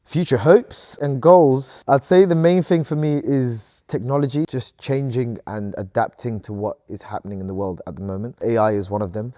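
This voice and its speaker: A man's voice: mid-range voice, steady tone, moderate pace, hardly any pauses, warm tone, no room sound, studio quality. A man in his thirties from Sudan, a company co-founder answering interview questions in an earnest, upbeat way.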